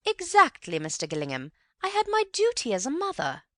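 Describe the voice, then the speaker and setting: Woman playing an indignant, gushing middle-aged mother, swooping high, dry close sound. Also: wildly swooping pitch, a little fast, fairly bright tone, no room sound, exaggerated voice.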